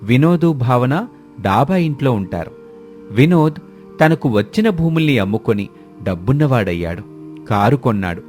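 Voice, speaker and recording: A man's voice: mid-range voice, lively intonation, normal speed, long pauses, darkish tone, soft background music. A man reading a scripted story as its narrator.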